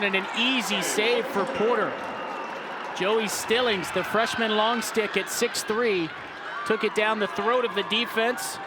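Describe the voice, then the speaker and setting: High-pitched young male sportscaster, noisy background. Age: early 20s. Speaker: sports play-by-play announcer and reporter. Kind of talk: sports broadcast commentary and scripted reporting.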